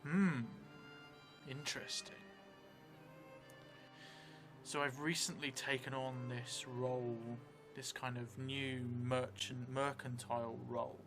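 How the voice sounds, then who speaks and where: A man in his forties, narrating a solo role-playing game and thinking aloud in a musing, halting, muttering way. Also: medium pitch, level tone. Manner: musing, halting, drifting into muttering while writing. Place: indoor theatre stream set, seated at a table. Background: background music.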